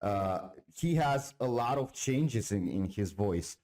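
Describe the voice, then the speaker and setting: A man's voice: lowish voice, expressive, takes it slowly, a little mellow. A man hosting an interview, asking questions in a chatty, encouraging way and often repeating words as he starts. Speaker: interviewer, channel host.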